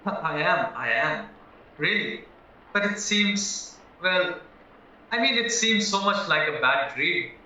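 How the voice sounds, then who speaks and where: An actor as an eager, high-voiced accused young man. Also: animated, slow pace, bright, forceful tone, full character voice. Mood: anxious, pleading. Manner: earnest, rambling, protesting. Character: naive, eager, anxious married man accused of murder. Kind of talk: stage-style audio drama acting. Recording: very echoey, muffled, distant, music underneath.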